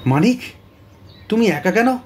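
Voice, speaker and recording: An actor playing a blustering, easily baffled police officer with a querulous manner in a detective audio drama. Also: male voice, high voice, very animated, measured pace. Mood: blustering, questioning.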